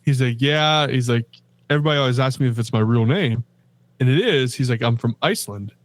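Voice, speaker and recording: A middle-aged man chatting casually as a podcast co-host, his voice sitting at an ordinary, mid-range pitch. He tells stories in a loose, rambling way, full of ums and restarts. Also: a little fast, no echo. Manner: rambling, chatty storytelling with many fillers. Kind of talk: casual chat.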